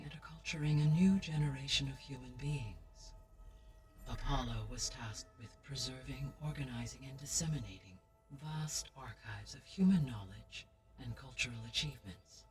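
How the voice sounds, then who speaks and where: A woman voicing a calm, formal female AI guardian in a video game, speaking in a measured, precise way. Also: a bass voice. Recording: distant game audio with music.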